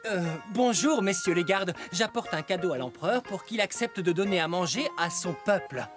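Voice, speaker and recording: A woman's voice: fairly low pitch, animated, moderate pace, over music, natural voice. A woman voicing a kind, brave young villager in a children's cartoon, polite and earnest.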